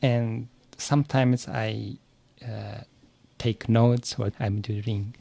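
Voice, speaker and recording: A man with a Japanese accent, a native Japanese speaker, giving careful, halting interview answers in English as a podcast guest and learner. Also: lowish voice, steady tone, gravelly, dark tone. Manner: hesitant, searching for words, halting phrases.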